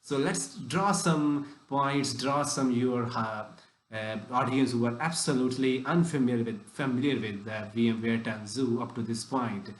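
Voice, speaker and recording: A man's voice: medium pitch, normal speed, echoey room, rough recording. A man hosting a tech podcast who asks loose, rambling questions with broken phrasing and frequent restarts. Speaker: podcast host. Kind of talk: casual interview questions.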